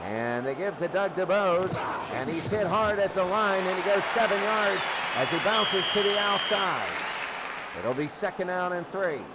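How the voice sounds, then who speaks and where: High-pitched male football announcer over loud noise. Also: normal speed, over a noisy crowd. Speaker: play-by-play sports announcer. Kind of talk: American football radio commentary. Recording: loud steady noise behind the voice.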